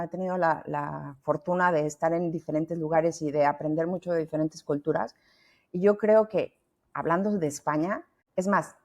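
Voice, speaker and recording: A talkative middle-aged woman with a Mexican Spanish accent, speaking as a podcast guest. Her voice sits fairly low and sounds warm and mellow. She restarts her sentences often. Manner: lively, talkative, many restarts. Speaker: founder and guest. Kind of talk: podcast interview.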